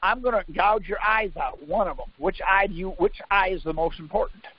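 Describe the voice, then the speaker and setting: A man's voice: high voice, brisk, breathy edge. A man teaching on a conference call in an informal, insistent way, quizzing and contradicting his listener. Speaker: conference call leader teaching legal theory. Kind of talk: informal instruction by questions. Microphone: conference call line. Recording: muffled lo-fi conference call audio.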